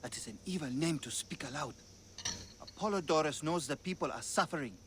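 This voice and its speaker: An actor playing an anxious, secretive middle-aged brewer in a video game, speaking in a hushed, grave way. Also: male voice, fairly high pitch, animated, brisk, slight background noise. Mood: urgent.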